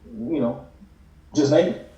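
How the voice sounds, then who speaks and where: Frank, streetwise male pal acted by a man, dark tone, casual table read, distant echoey mic. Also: medium pitch, slow, lightly acted. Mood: frank, concerned. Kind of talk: naturalistic table read acting. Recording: echoey room, distant video call mic.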